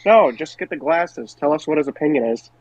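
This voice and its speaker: A man's voice: fairly high pitch, expressive, brisk, no room sound. A man co-hosting a podcast, chatting casually about pro wrestling on a dull, lo-fi mic. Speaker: podcast co-host.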